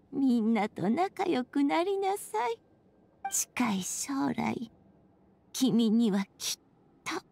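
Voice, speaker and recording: Tender, slow-spoken high-voiced mother. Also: vocal fry, exaggerated voice. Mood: loving blessing. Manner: soft, soothing, slow, breathing into near-whispers. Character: tender, selfless mother creator, gentle and wistful. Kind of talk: scripted game voice acting, storybook-like.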